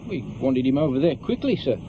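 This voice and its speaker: An actor playing a nervous, deferential junior police constable in a radio drama. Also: male voice, mid-range voice, big pitch swings, brisk, lightly acted. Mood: hesitant, deferential. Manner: hesitant, deferential. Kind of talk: naturalistic radio drama acting. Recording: dull lo-fi radio recording, noisy.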